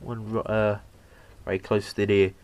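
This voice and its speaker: A young man with a slight cold, narrating a PC hardware install in a halting, casual way with lots of fillers. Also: lowish voice, little pitch movement, a little mellow, a little hiss or hum. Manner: halting, many fillers, talks while working. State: has a cold, slightly bunged up. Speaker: PC hobbyist showing a hardware install.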